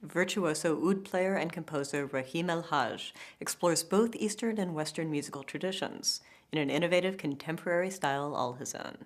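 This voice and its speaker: Deep-voiced woman narrating a documentary. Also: moderate pace, hardly any pauses.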